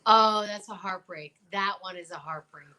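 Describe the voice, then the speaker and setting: A middle-aged American woman co-hosting a talk show in casual, expressive chat full of exclamations. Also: medium pitch, moderate pace, background noise.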